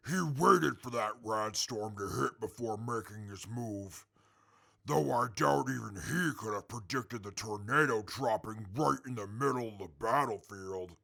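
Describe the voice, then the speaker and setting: Casting: proud mutant warrior, very gravelly, deliberate pace, formal and menacing, quick to indignation, post-apocalyptic radio drama. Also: male voice, mid-range voice, bright, forceful tone, exaggerated voice. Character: proud, irritable male super mutant warrior in captivity.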